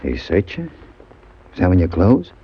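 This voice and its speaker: A man playing a big, shifty pool parlor owner of about forty in a radio crime drama, with a husky voice and an urgent, insistent manner. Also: deep voice, huge pitch swings, moderate pace, full character voice. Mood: uneasy, furtive. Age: middle-aged. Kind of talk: old-time radio crime drama acting. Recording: dull vintage radio recording.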